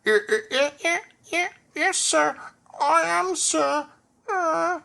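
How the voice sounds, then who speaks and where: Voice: very high voice, takes it slowly, gravelly, bright, forceful tone, pitched above the performer's own voice, heavily acted. A man voicing a young, stubborn male steam engine in a children's story, with chanting, puffing delivery. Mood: nervous, muffled. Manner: chanting, puffing shh sounds, stammers when nervous.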